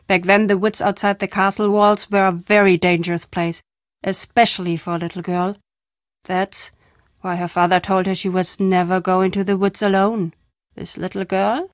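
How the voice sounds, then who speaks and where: A woman playing an enigmatic, foreboding traveling storyteller in an adventure game, stern and deliberate in her phrasing. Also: mid-range voice, dry recording, clean studio sound, lightly acted. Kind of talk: adventure game character acting.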